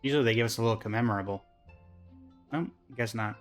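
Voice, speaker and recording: A man's voice: lowish voice, quick pace, soft background music. A man giving casual game reaction commentary as a host, in an informal, rambling, offhand manner.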